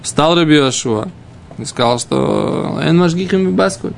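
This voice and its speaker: A man teaching an informal Talmud lesson, reading the text and explaining it, haltingly and with repeated phrases. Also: on the high side, expressive, gravelly, a little hiss or hum.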